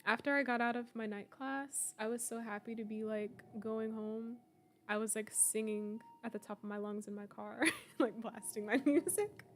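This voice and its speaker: A woman in her twenties, slightly hoarse, chatting casually and laughing often as she streams a game and answers her viewers. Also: on the high side, steady tone, normal speed, slight background noise. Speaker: streamer playing a horror game. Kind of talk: casual live-stream chat, reading out viewer comments.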